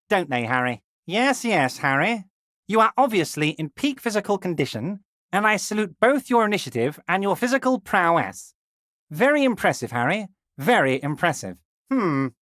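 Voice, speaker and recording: Casting: scheming male union boss in a game, high for a man, very lively intonation, oily mock-friendliness. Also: moderate pace, dry recording, studio quality. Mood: teasing flattery. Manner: unctuous, theatrical, mock-friendly condescension. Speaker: video game voice actor. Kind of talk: scripted game character dialogue.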